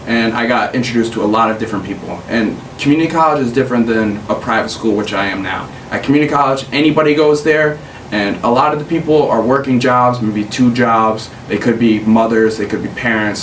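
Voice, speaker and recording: A young man talks in a relaxed, meandering way, leaning on fillers as he hands out advice. He sounds a bit far from the mic. The room has a noticeable echo and a faint hiss. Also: fairly low pitch. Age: young adult. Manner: laid-back, rambling advice with many fillers. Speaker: podcast host. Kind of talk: casual chat.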